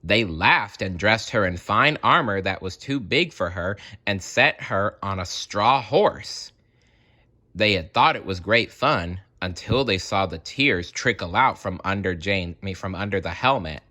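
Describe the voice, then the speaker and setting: Guy doing a kids read-aloud, slow n expressive, bright clear-cut voice, chatty asides. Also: on the low side. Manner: expressive, deliberate, with chatty reactions to the story. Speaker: storybook reader. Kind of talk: read-aloud children's story with casual asides.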